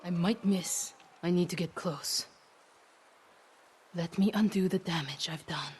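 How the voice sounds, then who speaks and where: A woman's voice: on the low side, a little slow, a little mellow, slight background noise, lightly acted. An actress playing a proud, cool renegade woman archer in a video game, with a dry, clipped, self-assured delivery. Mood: resolved. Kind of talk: dramatic video game acting.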